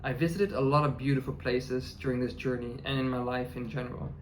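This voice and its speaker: A man whose first language is Dutch, narrating his travel vlog in a calm, measured, scripted voice-over. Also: mid-range voice, a little hiss or hum, rough recording. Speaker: travel vlogger.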